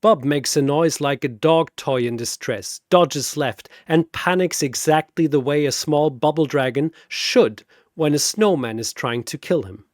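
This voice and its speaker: Slow, theatrical male narrator. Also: fairly high pitch, no echo, clean studio sound. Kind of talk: dramatic comic scripted battle narration.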